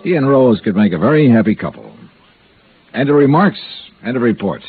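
A man's voice: mid-range voice, big pitch swings, fairly quick, slight background noise. An actor playing a cool, wry male insurance investigator in a clipped 1950s radio drama style. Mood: brisk, wry. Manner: clipped, wry, hardboiled delivery. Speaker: series star, lead actor and narrator. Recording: muffled old-time radio recording with music.

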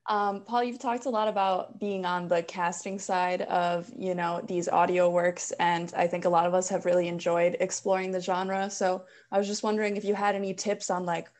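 A woman's voice: fairly low pitch, flat delivery, normal speed, few pauses, darkish tone. A young adult woman asking a question on a panel in a casual, conversational way. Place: plain indoor room. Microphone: video call.

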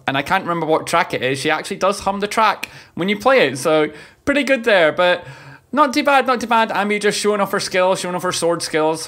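A man in his thirties giving playful, casual reaction commentary as a streamer, often repeating his phrases. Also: high-pitched. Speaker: streamer reacting to game videos. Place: small room at home. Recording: loud game music behind. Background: game trailer music.

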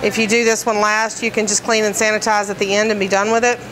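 A woman's voice: medium pitch, flat delivery, fast talker, background noise. A woman bakery trainer explaining decorating steps in plain, practical terms, with frequent fillers. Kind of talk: instructional demonstration. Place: commercial kitchen, indoors.

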